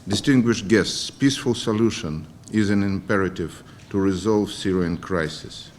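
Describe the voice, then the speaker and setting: A man, a diplomat reading out a formal address somewhat haltingly at a conference. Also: fairly low pitch, a little hiss or hum. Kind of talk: formal read speech. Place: conference room.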